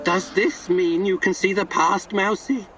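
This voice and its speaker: A man's voice: high voice, fairly even intonation, a little slow, fairly bright tone, recorded from a distance, faint music behind. An actor voicing an eccentric, twitchy male vagrant in a video game, speaking in cryptic, theatrical, playful riddles. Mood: cryptic, teasing. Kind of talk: video game character acting.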